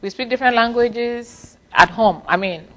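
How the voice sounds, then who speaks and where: A woman's voice: fairly high pitch, moderate pace, slight background noise. A middle-aged woman from Ghana whose first language is Fanti, speaking thoughtfully in an interview, with frequent small laughs and restarts. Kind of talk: reflective personal interview.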